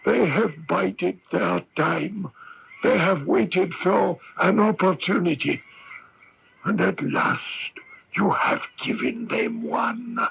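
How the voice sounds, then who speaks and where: An actor playing a vengeful, haughty phantom accuser in a radio mystery drama, with a raspy, menacing, condescending delivery. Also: male voice, high voice, slow, fairly bright tone, exaggerated voice. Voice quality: raspy, creaky. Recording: dull lo-fi radio recording.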